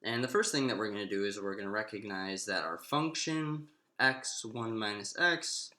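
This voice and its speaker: A man giving an informal, step-by-step maths lesson, thinking aloud in a halting way and often restating himself. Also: on the low side, sharp tone. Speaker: maths lecturer. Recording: echoey room.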